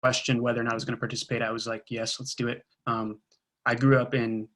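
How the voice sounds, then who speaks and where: A man born in Israel and raised in Georgia, an artist introducing himself on a panel call in a relaxed, rambling way. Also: mid-range voice, fast talker.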